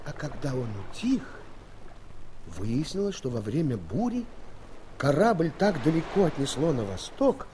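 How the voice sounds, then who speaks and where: An actor telling a sea adventure tale as the theatrical narrator of an audio play. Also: male voice, fairly high pitch, sing-song, a little slow, noisy background. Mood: dramatic storytelling. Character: storyteller of a sea tale, doubling as ship's captain. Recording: vintage mono record, music behind.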